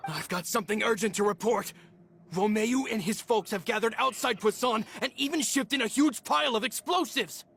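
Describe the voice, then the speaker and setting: A man's voice: high-pitched, normal speed, creaky, a bit bright, slight background noise. An urgent young henchman. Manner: urgent, reporting. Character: loyal young male henchman.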